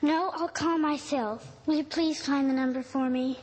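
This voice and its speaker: A woman's voice: sits high, fairly even intonation, normal speed, natural voice. A woman acting an earnest, anxious little girl in an old-time radio drama, plaintive and pleading. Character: earnest, anxious little girl pining for her lost dog. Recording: noisy vintage radio drama recording.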